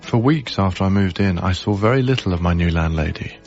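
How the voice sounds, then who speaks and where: A man's voice: sits low, animated, a little fast, darkish tone, muffled recording, soft background music. An actor playing a heartbroken, guarded young man, wry and reflective, in a radio drama. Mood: brooding, reflective. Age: young adult. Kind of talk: radio drama acting with intimate first-person narration.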